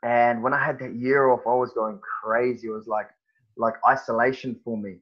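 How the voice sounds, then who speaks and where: A man, a guest on a podcast, talking in a halting, casual way with many fillers and restarts. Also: medium pitch, fairly even intonation, lo-fi sound. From New Zealand. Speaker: guest, personal trainer.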